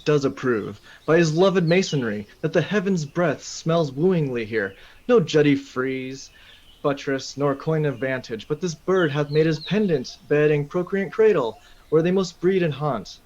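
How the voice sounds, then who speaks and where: Higher-voiced courtly nobleman, acted by a man. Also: animated, a little slow, darkish tone. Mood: pleasant, reflective. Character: observant, courtly Scottish nobleman. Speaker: student actor. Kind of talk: amateur Shakespearean radio play acting. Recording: dull sound with faint noise.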